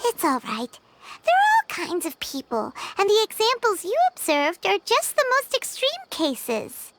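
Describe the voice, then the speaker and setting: A woman voicing a small, kind, earnest fairy girl companion in a video game, with a squeaky childlike voice. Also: wildly swooping pitch, a little slow, noisy background, exaggerated voice. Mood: reassuring. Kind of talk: English video game voice acting.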